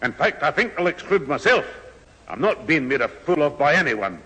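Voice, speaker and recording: An older man playing a gruff, proud Scottish grandfather who scolds, in a radio sitcom. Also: fairly high pitch, very animated, moderate pace, pushed and bright, exaggerated voice. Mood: stern, offended. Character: gruff, proud, easily offended old grandfather. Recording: reverberant old radio studio recording.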